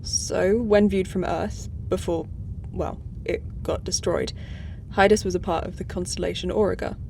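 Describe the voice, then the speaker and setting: An actress playing a thoughtful, earnest starship crew member in a sci-fi audio drama, speaking in a tentative, explanatory way. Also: female voice, lowish voice, steady tone, normal speed, vocal fry, dark tone, slight background noise.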